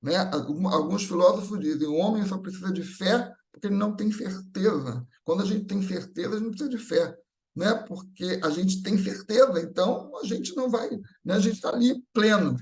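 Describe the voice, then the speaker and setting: A Brazilian man speaks in a notably high voice, teaching at a spiritual gathering. He explains in a didactic, semi-improvised way, repeating phrases for emphasis and checking in with short tag questions. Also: brisk, darkish tone. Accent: Brazilian Portuguese.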